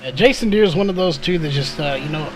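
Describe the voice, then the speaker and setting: High-pitched male, slightly hoarse, live race commentator, conversational, analytical, faint engine noise. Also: fast talker, low-quality recording. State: slightly hoarse, losing his voice. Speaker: sim or short-track race play-by-play commentator.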